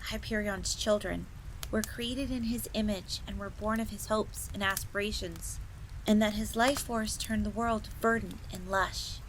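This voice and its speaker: A woman's voice: mid-range voice, noisy background, close to an everyday voice. A woman voicing a young, polite and eager fox maid who tells old lore in a roleplay audio drama. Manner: refers to herself in third person, explanatory.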